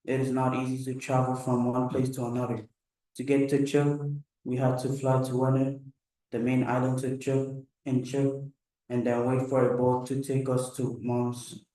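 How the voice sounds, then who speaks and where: A teenage man from Micronesia reading his own story aloud at a live event, in a careful, measured way with a few restarts. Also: medium pitch, warm tone. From Pohnpei, Micronesia. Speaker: student storyteller. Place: large room at a live event. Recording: echoey hall, voice from far off.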